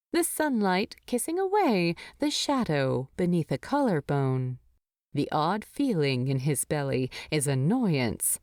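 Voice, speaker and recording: A woman narrates a scripted story. Her voice sits a little low and moves with animated intonation. The recording is dry and close. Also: dry recording. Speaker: fan fiction narrator.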